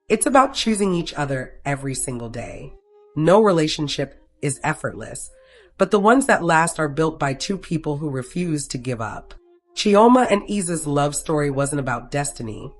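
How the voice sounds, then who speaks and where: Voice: mid-range voice, lively intonation, normal speed. A narrator reading a scripted romantic story, telling it in the third person.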